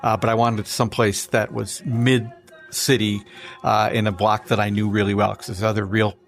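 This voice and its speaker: A man from Minnesota, an author guest, chatting in a relaxed interview and chuckling through his stories. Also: lowish voice, moderate pace, soft background music. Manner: relaxed, anecdotal, chuckles while telling stories. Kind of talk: casual interview chat.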